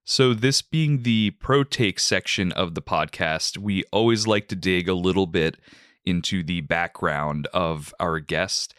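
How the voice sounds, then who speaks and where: Deep, smooth male podcast host. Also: a bit bright, no room sound, clean studio sound. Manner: articulate, measured, uses "like" fillers. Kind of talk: film review and interview hosting.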